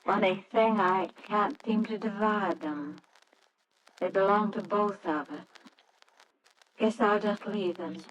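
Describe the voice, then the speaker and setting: Woman acting grieving wife, plaintive, restrained, unhurried delivery, 1940s radio drama, noisy old recording. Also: mid-range voice, muffled recording, close to an everyday voice. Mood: weary, sad. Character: sad, weary grieving wife and mother, quietly resigned.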